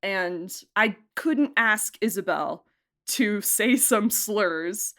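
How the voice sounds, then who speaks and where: A woman in a relaxed interview talks about producing and directing an audio drama, with a medium-pitched voice. She is chatty, often breaks into laughter and fills her sentences with "like.". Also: very slow. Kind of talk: casual interview answers.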